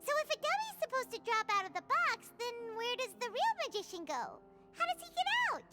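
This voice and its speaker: A woman voicing a small, excitable, curious fairy companion in a video game, with a squeaky childlike voice. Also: fairly quick, faint music behind, exaggerated voice. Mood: thoughtful, curious. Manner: refers to self in third person, exclaiming.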